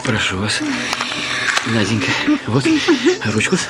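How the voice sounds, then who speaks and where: An actor playing an obsequious, insistent middle-aged suitor in a radio drama, pleading and fussy. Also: male voice, lowish voice, takes it slowly, very noisy. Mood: pleading, fawning. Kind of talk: theatrical Russian radio drama.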